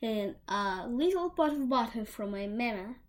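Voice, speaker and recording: A woman's voice: fairly high pitch, lively intonation, normal speed, voice pushed up, close to an everyday voice. A woman voicing an innocent, polite little country girl in a fairy tale, with a sing-song sense of wonder.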